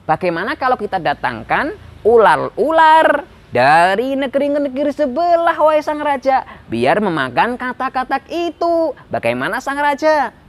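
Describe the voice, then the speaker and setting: A scheming storybook minister, put on by a male narrator, eager and fawning. The voice is pitched well up and swoops in flattering, sing-song politeness toward the king. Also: moderate pace. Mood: sly, coaxing. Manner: eager, obsequious.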